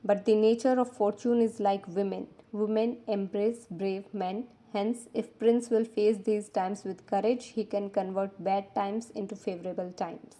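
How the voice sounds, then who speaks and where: Woman reading study notes, mellow voice. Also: mid-range voice, steady tone, moderate pace, few pauses. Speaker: educational presenter. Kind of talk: scripted quotation revision narration.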